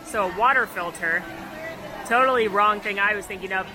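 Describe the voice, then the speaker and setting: A woman's voice: mid-range voice, normal speed, low-quality recording. A woman hosting an upbeat theme-park vlog outdoors, talking in a bubbly, chatty, playful way. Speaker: vlog host.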